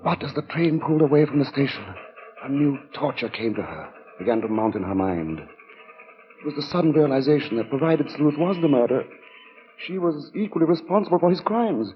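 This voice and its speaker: A man's voice: medium pitch, animated, a little fast, close to an everyday voice. A man narrating a suspenseful 1940s radio thriller in a grave, dramatic manner. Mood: grave, mounting dread. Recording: dull, noisy vintage radio recording.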